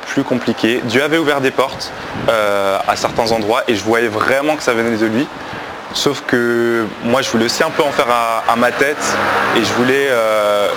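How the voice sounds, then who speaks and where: Man in his twenties from Belgium, deep voice, unscripted hesitant testimony. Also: a bit bright, slight background noise. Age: 20s. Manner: halting and reflective, many pauses and restarts. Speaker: person sharing his faith testimony.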